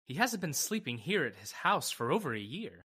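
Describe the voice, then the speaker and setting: Practical, skeptical male lawyer, played by a male actor, forceful bright tone, lively intonation, vintage radio style. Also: fairly high pitch, normal speed, husky, exaggerated voice. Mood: matter-of-fact. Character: brisk, level-headed male attorney, practical and skeptical. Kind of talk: old-time radio drama acting.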